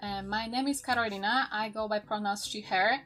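A woman's voice: medium pitch, steady tone, fairly quick, a bit bright, faint music behind. A woman of twenty-one with a Polish accent, a podcast guest talking earnestly and a little nervously, with many restarts. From Poland. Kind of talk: casual chat.

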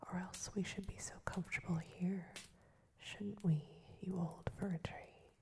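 A woman's voice: fairly low pitch, fairly even intonation, unhurried, gravelly. A woman whispering the lines of eager, curious little mice in a storybook reading.

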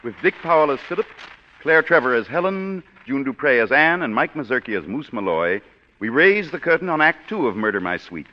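Man, announcer, crisp formal introduction, old radio broadcast, reverb, muffled. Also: medium pitch, big pitch swings, a little fast.

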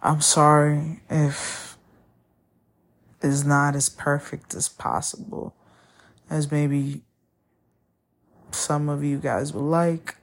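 A woman whose first language is Spanish, hosting a podcast and talking candidly about a breakup, often pausing to find her words. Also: low-pitched, little pitch movement, gravelly. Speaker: podcast host. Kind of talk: personal monologue.

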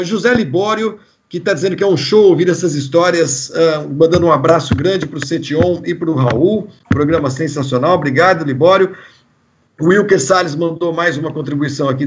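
A man with a fairly high voice hosts a live online show, chatty and poking fun at himself. His audio sounds a little dull over the video call. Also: a little hiss or hum. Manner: chatty, self-deprecating. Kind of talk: live stream hosting.

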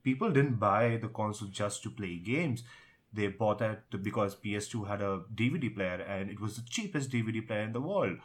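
A man's voice: lowish voice, normal speed. A man co-hosting a casual discussion about tech and gaming, speaking in an opinionated, emphatic way. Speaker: podcast co-host.

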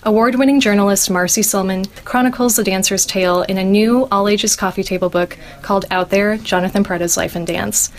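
A woman's voice: medium pitch, fairly even intonation, brisk, hardly any pauses. A young woman hosting a podcast interview, speaking enthusiastically and laughing often. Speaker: interviewer host.

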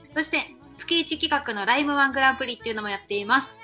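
A woman's voice: sits high, fast talker, bright, forceful tone, faint music behind. A woman co-hosting a radio-style podcast, chatting casually and reading out show announcements.

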